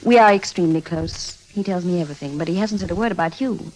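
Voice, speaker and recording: A woman's voice: lowish voice, fast, a little mellow, natural voice, low-quality recording. Woman playing cool, guarded rich man's daughter. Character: poised, guarded young daughter of a rich tycoon. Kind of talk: 1950s radio drama acting.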